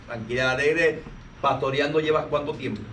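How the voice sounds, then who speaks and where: A man's voice: a little high, a little fast, a bit bright. A man interviewing a fellow minister, echoing the guest's answers and prompting him on. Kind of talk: conversational interview. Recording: roomy, distant mic with faint noise.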